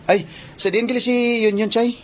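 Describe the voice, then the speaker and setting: A man's voice: sits high, fairly even intonation, normal speed, slight background noise, natural voice. A man acting in a radio drama as a friendly, polite young villager.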